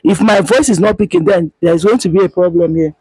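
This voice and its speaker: A woman with a Nigerian accent delivers a heated monologue to her viewers, hammering her points home through repetition. Her voice is breathy and grainy, and she holds it low and quiet because she is outside. The recording is close and dry, with little room sound. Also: a little fast, no echo, rough recording. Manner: emphatic, repetitive, scolding; speaking quietly. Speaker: vlogger. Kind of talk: impassioned monologue to camera. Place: outdoors.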